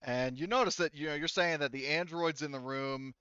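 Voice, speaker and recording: A man running a tabletop roleplaying game as game master, explaining story and rules in a halting, informal way. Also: a little high, sharp tone, smooth, no room sound, lo-fi sound. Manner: halting, hedging, informal and profane.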